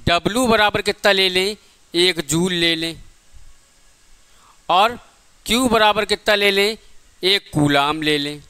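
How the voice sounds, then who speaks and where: A man's voice: high-pitched, sharp tone, smooth. A man teaching an exam-prep physics class step by step, often asking questions and answering them himself.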